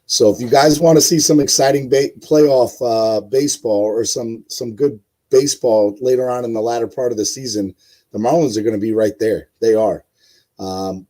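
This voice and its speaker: A man with a hoarse voice hosting a casual live-stream sports show, rambling with many fillers and talking to his chat viewers. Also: medium pitch, dark tone. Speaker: host.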